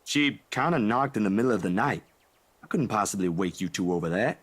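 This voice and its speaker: Visual novel friend: young, caring and easygoing, slightly low voice, colloquial and halting delivery. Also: male voice, lively intonation, husky. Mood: earnest, apologetic. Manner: casual, colloquial, hesitant. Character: laid-back, caring young man, worried for his friend.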